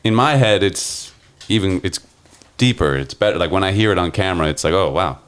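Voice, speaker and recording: A man's voice: low-pitched, lively intonation, brisk, clean tone. A man in his thirties co-hosting a podcast, chatting casually and laughing often. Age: 30s. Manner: laid-back, laughs often. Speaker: podcast co-host. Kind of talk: casual chat.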